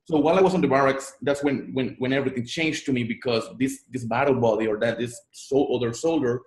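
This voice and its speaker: A man's voice: medium pitch, fairly even intonation, fast, darkish tone. Puerto rican guy, spanish first language, earnest, keeps repeating words, echoey room. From Puerto Rico. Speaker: guest, online marketer. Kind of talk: interview storytelling.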